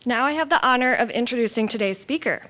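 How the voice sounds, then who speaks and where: A woman's voice: fairly high pitch, animated, fast talker, bright, forceful tone. Woman host reading a formal introduction. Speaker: event host. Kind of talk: speaker introduction. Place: large hotel ballroom.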